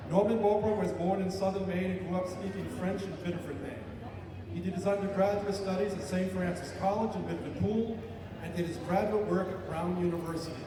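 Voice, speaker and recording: Man reading citations in an echoey hall. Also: sits high. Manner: formal, measured reading. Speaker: master of ceremonies. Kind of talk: reading award citations at a ceremony. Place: large hall with an audience. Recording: echoey hall recorded from far off.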